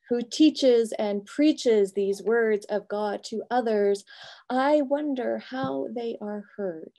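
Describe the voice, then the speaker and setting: A middle-aged woman pastor giving a reflective, prepared talk from a home office over a video call, measured and thoughtful, pausing between phrases. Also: on the high side, dark tone. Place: home office with bookshelves, indoors.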